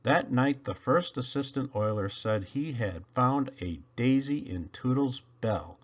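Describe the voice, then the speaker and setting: A man reading a children's story aloud, narrating expressively with dramatic emphasis and drawn-out words. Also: mid-range voice, expressive, dull audio. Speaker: storybook reader.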